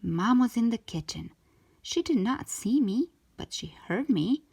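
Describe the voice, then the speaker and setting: A woman reading a children's story aloud as a storytime host, expressively and with drawn-out emphasis for young listeners. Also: a little high, sing-song, vocal fry, a little mellow, breathy edge. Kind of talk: animated children's story narration.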